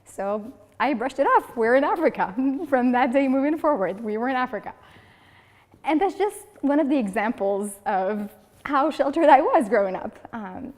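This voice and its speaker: Woman in her 30s telling funny stories at a club speech, voice a little high, hall echo trailing off. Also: normal speed. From Morocco. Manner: lively storytelling, acting out quoted people. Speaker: club speaker. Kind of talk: humorous prepared speech. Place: meeting room of a speaking club. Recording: long reverb of a hall.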